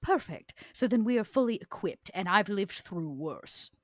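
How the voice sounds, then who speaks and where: A woman playing a proud, shrewd, long-lived vampire enforcer in tabletop roleplay, with a theatrical, deliberate and cutting delivery. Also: medium pitch, expressive, no echo, clean studio sound, natural voice. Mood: wry.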